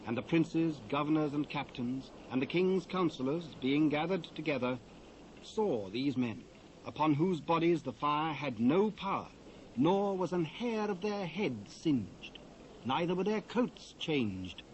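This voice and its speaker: A man with a British accent narrating scripture in a measured, solemn, formal and dramatic way. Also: a little high, animated, rough recording. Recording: faint hiss, old cassette transfer.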